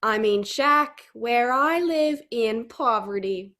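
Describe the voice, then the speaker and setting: A stubborn country farmer played by a young woman blusters in a folksy drawl. The voice sits high for a man and swings about in pitch, in comic radio play style. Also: lively intonation, takes it slowly, a bit bright. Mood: earnest. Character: folksy, stubborn male farmer, prone to posh slips. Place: home room, on a video call.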